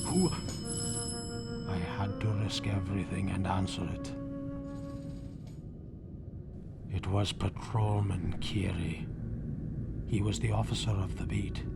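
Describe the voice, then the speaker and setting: An actor playing a panicked, guilty husband in 1940s radio horror style, melodramatic, stammering and dropping into breathless whispers. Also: male voice, low voice, level tone, unhurried, creaky, exaggerated voice. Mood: terrified, haunted. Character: panicked guilty husband confessing his crime. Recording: old 1940s radio broadcast, loud music behind.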